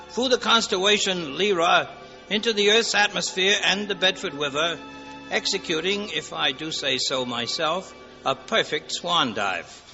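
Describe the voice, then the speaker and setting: A man's voice: high voice, gravelly, sharp tone, heavily acted. A man playing an eager, pompous, eccentric angel with florid, formal diction in a 1940s radio drama. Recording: dull 1940s radio, long hall reverb, music.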